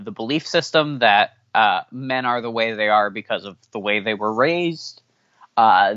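A man whose first language is not English answering interview questions candidly, halting with many fillers and restarts. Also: mid-range voice, a bit bright, no room sound. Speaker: podcast guest. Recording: dull lo-fi audio.

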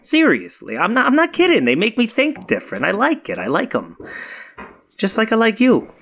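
Flustered young boyfriend, swooping pitch. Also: male voice, wildly swooping pitch, moderate pace, vocal fry, fairly bright tone, heavily acted. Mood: teasing, affectionate. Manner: casual, stumbling, self-repeating, slangy. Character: flailing, eager-to-please boyfriend, easily flustered. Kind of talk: naturalistic found-footage audio drama acting.

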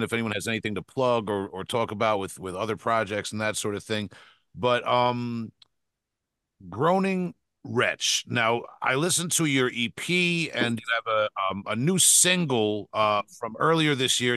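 A man's voice: mid-range voice, pushed and bright, smooth, dry recording. A man in his forties hosting a casual interview, asking rambling questions with many restarts. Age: 40s. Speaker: podcast host.

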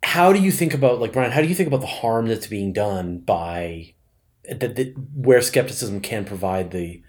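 A man's voice: lowish voice, expressive, moderate pace, darkish tone. A man speaking as a podcast host, asking questions in a casual, conversational way.

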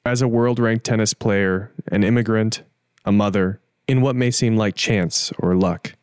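A man narrating a church testimony video and interviewing a member of the church community in an earnest, measured way. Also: on the low side, smooth, no room sound, clean studio sound.

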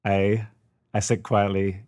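A man's voice: lowish voice, flat delivery, slow pace, darkish tone, smooth, no room sound. A middle-aged man co-hosting a podcast over a call, talking casually with many ums and a dry, self-mocking humor. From United Kingdom. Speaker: podcast co-host. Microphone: remote call.

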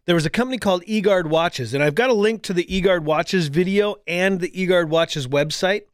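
A man hosting a talk show, chatting casually in a rambling way, full of ums and restarts. His voice sits a little high for a man. The studio sound is dry and close. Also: fairly quick, smooth, dry recording. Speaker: podcast and radio show host. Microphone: studio microphone.

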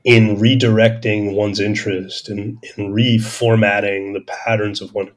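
A man's voice: on the low side, flat delivery, unhurried. A man speaking as a podcast host in an extended intellectual discussion, academic and discursive, searching for words and repeating phrases as he stresses key terms. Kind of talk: extended intellectual discussion, answering listener questions.

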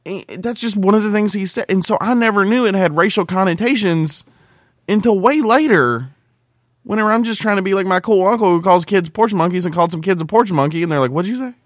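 A man's voice: high-pitched, brisk, no echo, studio quality. An American man co-hosting a casual podcast, talking in a blunt, crude, colloquial way. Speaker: podcast co-host.

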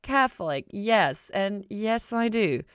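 A woman narrator voicing a perky, warm, outspoken young female scientist in an audiobook story, emphatic and slangy. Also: medium pitch, expressive, slow, clean tone, dry recording, clean studio sound, voice pushed up. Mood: earnest.

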